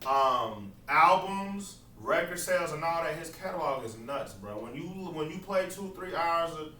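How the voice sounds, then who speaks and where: A man's voice: fairly high pitch, expressive, sharp tone. A man with a nasal voice, talking in a laid-back, slangy way as a podcast guest. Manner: laid-back slangy talk, drawn-out trailing phrases. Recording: roomy echo from far off, faint ambience.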